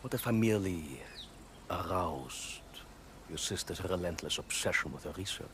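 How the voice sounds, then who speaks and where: An actor playing a menacing, cruel German commander in a cinematic game, with a German accent and a theatrical, gloating manner. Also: male voice, sits low, expressive, normal speed, background noise. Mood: gloating menace.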